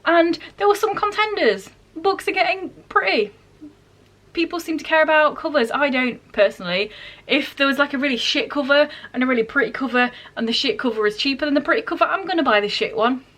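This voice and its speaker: A woman's voice: high voice, a little fast, fairly bright tone. A woman chatting casually to camera in a book vlog, informal and self-deprecating, with dry asides. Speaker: book vlogger.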